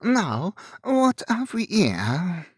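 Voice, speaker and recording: French robber, performed by a male reader: roughened, commanding, taunting, pitched up and animated. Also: extremely slow, no echo, studio quality, heavily acted. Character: second French highwayman, brisk, bossy, taunting.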